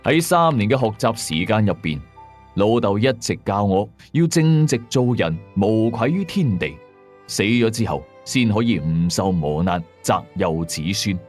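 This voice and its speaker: A man narrating a scripted first-person horror story in a measured, dramatic way, drawing out the ends of some phrases. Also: on the low side, very animated, darkish tone, faint music behind. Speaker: storyteller of a radio ghost-story series.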